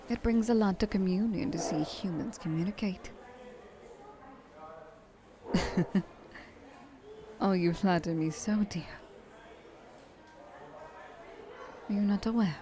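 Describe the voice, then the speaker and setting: An elegant vampire woman of a thousand years, acted by a woman for an ASMR roleplay. Her voice sits on the low side and is very mellow, often sinking to a breathy whisper. She flirts in formal, genteel phrases with sweet condescension, over faint background noise. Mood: coy, teasing. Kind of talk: ASMR roleplay, intimate monologue to listener.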